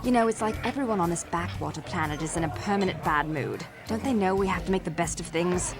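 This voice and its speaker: Casting: friendly off-duty young officer for a game, played by a woman, slightly low, casual, music underneath. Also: fast, natural voice. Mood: upbeat, chatty. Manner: chatty, open, casual. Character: friendly, lonely young female junior military officer. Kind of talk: video game dialogue acting.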